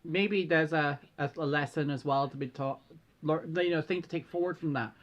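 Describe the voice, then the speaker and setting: A co-host with a medium-pitched voice, chatting through advice in a thoughtful way. The phrasing is tentative and conversational, often rounded off with a short check-in question. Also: normal speed, dull audio. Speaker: co-host giving feedback. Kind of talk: casual advice chat.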